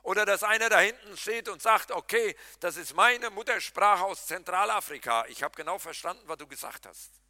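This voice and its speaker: A pastor preaching a lively sermon, talking straight to his congregation and mixing in jokes. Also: high-pitched, normal speed, dry recording. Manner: animated, talks to the congregation directly, jokes and laughs.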